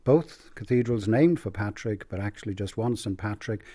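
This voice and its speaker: A man with a Dublin Irish accent, an archbishop speaking in an earnest, measured way in a conversational joint address. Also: fairly low pitch, warm tone. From Ireland.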